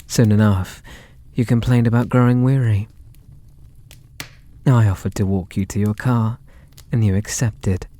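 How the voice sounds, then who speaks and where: An actor with a British accent playing a possessive, lovestruck kidnapper in an ASMR roleplay, sweet and doting in a theatrical, intimate way. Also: male voice, medium pitch, dark tone, lightly acted. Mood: smug, amused. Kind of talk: ASMR roleplay audio drama.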